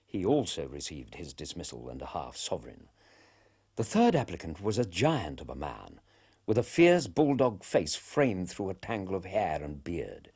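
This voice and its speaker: Deep-voiced man narrating, measured delivery, husky tone. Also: wildly swooping pitch, a little mellow. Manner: measured, descriptive. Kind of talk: scripted narration.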